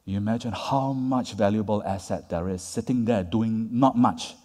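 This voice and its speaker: A man with a fairly low, mellow voice gives a lively conference talk, leaning hard on key words. He keeps checking in with the audience with "right?" and "yeah?". His voice carries the long reverb of a large hall. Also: normal speed. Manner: conversational, tags points with "right?" and "yeah?". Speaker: conference speaker. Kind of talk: lecture.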